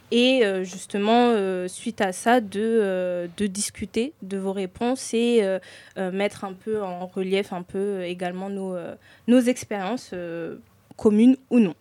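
A woman hosting a radio discussion, speaking in a hesitant, halting way as she explains things. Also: mid-range voice, mellow, no echo. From France. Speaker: radio show host. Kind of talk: hosted discussion, semi-prepared.

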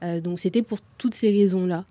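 A woman's voice: lowish voice, fairly even intonation, a little fast, dark tone, no echo. A woman in her twenties, a guest talking casually in conversation. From France. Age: 20s. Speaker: guest, social media content creator.

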